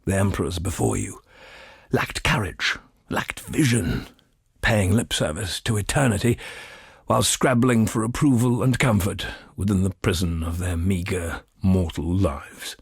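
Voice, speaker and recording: Game villain: scheming, fervent thaumaturge, husky and very breathy, grandiose, close dry sound. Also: male voice, deep voice, dry recording, full character voice. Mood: fervent, persuasive. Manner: slow, deliberate, grandiose. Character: arrogant, obsessive male thaumaturge, fervent and scheming. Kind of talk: dramatic scripted game monologue.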